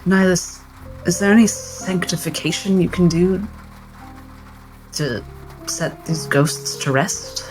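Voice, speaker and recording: A man's voice: high voice, slow, pauses often, faint music behind, rough recording. A man playing a blunt, pragmatic doctor in a tabletop game, decisive and emphatic. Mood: brisk.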